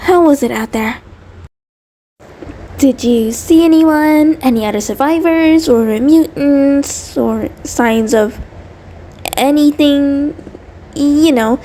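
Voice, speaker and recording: A woman's voice: sits high, slow, pauses often, warm tone, a little hiss or hum. A woman voicing an anxious, devoted centipede creature partner in an intimate audio roleplay, nervous and hesitant, stammering and rambling. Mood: shy, affectionate, nervous. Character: anxious, devoted female mutant centipede partner, sweet and neurotic.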